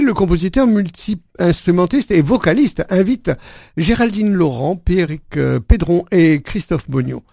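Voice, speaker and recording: A man's voice: high voice, creaky, darkish tone, no room sound. A man hosting a jazz radio show in a studio, presenting casually with many hesitations and fillers.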